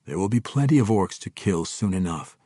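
A man voicing a calm male dark elf ranger in a fantasy audiobook, speaking in a composed, formal way. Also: deep voice, brisk, husky, no echo, clean studio sound.

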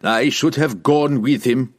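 A man voicing a brooding, hot-tempered young barbarian warrior in an audiobook, speaking formally and forcefully. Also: mid-range voice, a little slow, pitched above the performer's own voice, close to an everyday voice. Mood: angry, doubtful.

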